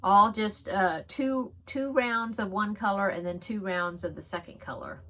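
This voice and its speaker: A woman talks through her crochet projects in a relaxed, rambling way, full of ums and little giggles. One side of her nose is stuffed up, which gives her voice a slightly blocked sound. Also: medium pitch, unhurried. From Texas. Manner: chatty, giggly, rambling with frequent fillers. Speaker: crochet podcast host. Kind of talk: casual show-and-tell chat.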